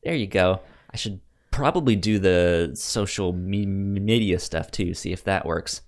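A man's voice: sits low, unhurried. A man co-hosting a podcast in casual, informal, conversational chat. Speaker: podcast co-host.

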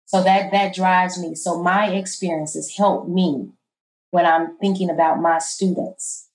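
A middle-aged woman with a soft, low voice, guesting on an interview and talking in a calm, measured way that turns emphatic at times. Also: echoey room, low-quality recording. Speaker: college president, guest. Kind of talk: interview conversation.

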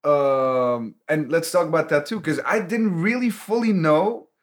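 A man hosting a casual interview, relaxed and chatty with frequent affirmations. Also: on the high side, a little slow. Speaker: podcast host.

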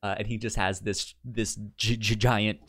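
A man chatting casually as a podcast co-host, stuttering on sounds and words and telling things in an animated way. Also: lowish voice, moderate pace, no room sound. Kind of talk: casual chat about sitcoms.

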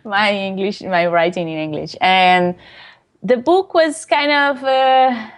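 A woman's voice: mid-range voice, slow. A Brazilian woman, a non-native English speaker with a Brazilian Portuguese accent, answering interview questions in a hesitant, casual way with many fillers. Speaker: guest author.

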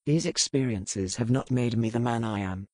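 An actor playing a cold pirate lord in a romance audiobook, speaking in a controlled, sardonic, matter-of-fact way. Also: male voice, mid-range voice, moderate pace, mellow, no room sound, clean studio sound, close to an everyday voice. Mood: detached. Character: cold, commanding pirate lord, a man with a buried past. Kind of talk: romance audiobook character dialogue.

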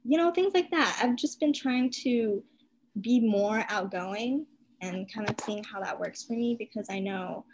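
A woman's voice: a little high, a little slow, a little mellow, slight background noise, rough recording. A talkative young woman chatting casually, full of "like" and "you know". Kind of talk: casual chat.

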